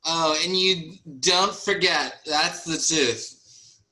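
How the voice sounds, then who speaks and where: A belligerent, very drunk sister, played by an actress in a comic stage reading. Her lowish voice is forceful and drags along slowly as she rambles bluntly. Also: full character voice, rough recording. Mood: drunken, belligerent. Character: incredibly drunk, belligerent younger-style sister.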